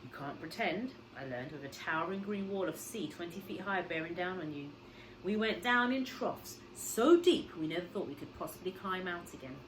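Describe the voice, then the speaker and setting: A woman reads a children's novel aloud in a slightly low voice. Her delivery is dramatic and very lively, swinging widely in pitch like a teacher telling a story to pupils. There is clear noise on the recording. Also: moderate pace. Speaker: schoolteacher reading a story to pupils.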